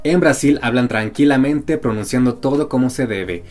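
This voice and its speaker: A man's voice: mid-range voice, moderate pace, darkish tone, soft background music. A man hosting a language video, narrating a scripted lesson with a slightly mixed accent, spelling out example words one at a time. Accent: mix of Portuguese, Brazilian and Mexican influences.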